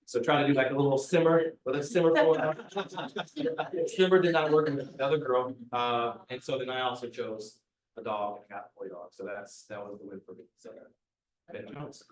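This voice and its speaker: A man's voice: medium pitch, moderate pace. A man introducing himself on a real estate panel with self-deprecating humor. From Columbus, Ohio area. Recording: echoey room, distant mic.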